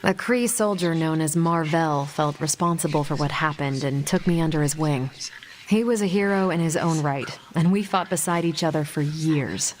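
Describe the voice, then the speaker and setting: An actress playing a confident, easygoing American superhero in a video game, with a wry, casual manner. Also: female voice, deep voice, moderate pace, a little hiss or hum, natural voice. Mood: frank, reflective. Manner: wry, casual, self-assured. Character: confident, easygoing superhero woman, ex-Air Force pilot. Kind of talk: video game dialogue acting.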